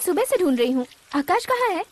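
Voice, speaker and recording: High-voiced, assertive young woman. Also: very animated, brisk. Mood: annoyed. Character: sharp-tongued, assertive young daughter of a minister. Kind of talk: dramatic film dialogue. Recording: film dialogue with music behind.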